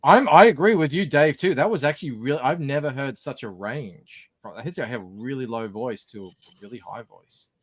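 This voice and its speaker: A man's voice: medium pitch, normal speed, hardly any pauses, breathy edge, no room sound. A man co-hosting a casual talk show, making wry, ironic asides. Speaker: podcast co-host. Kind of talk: casual chat.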